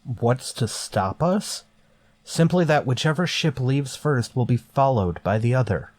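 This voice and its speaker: An actor playing a grim, authoritative starship captain in a golden age sci-fi radio drama, speaking in a stern, deliberate way. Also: male voice, mid-range voice, a little mellow, dry recording.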